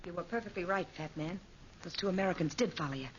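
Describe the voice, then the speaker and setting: A woman's voice: on the low side, quick pace, lightly acted. An actress playing a wary, hard-edged female accomplice in crime, in 1940s radio acting style. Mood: wary, anxious. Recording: muffled, hissy 1940s radio recording.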